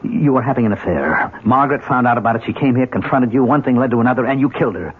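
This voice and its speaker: A veteran American police inspector in an old radio play. His voice is husky and swings widely in pitch as he questions and pleads, loyal but disbelieving. The recording is muffled. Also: lively intonation, quick pace. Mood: pressing, incredulous. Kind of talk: 1970s radio drama acting. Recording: muffled vintage radio broadcast.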